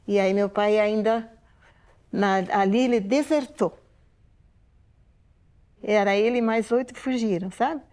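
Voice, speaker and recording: Older woman, slightly high, reflective. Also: darkish tone. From Poland. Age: 80s. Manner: reflective, unhurried, with frequent tag questions. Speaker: museum oral-history interviewee. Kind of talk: unscripted spoken testimony.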